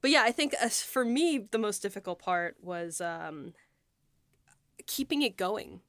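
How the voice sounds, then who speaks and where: A woman's voice: medium pitch, lively intonation, a little slow, a bit bright, no echo. A woman writer and producer in a casual behind-the-scenes discussion, talking in a reflective, rambling way.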